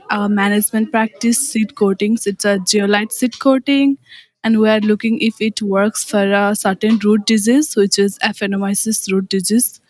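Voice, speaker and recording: A woman's voice: medium pitch, low-quality recording. A young woman with a Nepali accent, giving informal answers as an interviewee. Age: young adult. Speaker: interviewee, graduate student.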